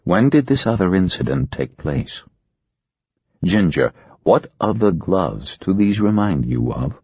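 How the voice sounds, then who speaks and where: A calm, commanding hypnotist, read by a male narrator speaks in soothing, slow, insistent commands from a storybook. His deep voice is husky, and the sound is dry and muffled. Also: dry recording, full character voice.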